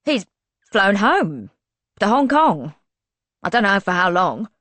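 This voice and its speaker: A woman's voice: medium pitch, big pitch swings, slow pace, a bit bright, dry recording. A woman voicing a female office administrator who is wry, gossipy and a little defensive.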